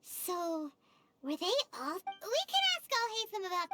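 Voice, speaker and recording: A woman voicing a small, childlike, excitable fairy companion in a video game, eager and chirpy. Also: very high-pitched, measured pace, over loud music, full character voice. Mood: helpful, eager. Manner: refers to self in third person, excitable. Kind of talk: video game character acting.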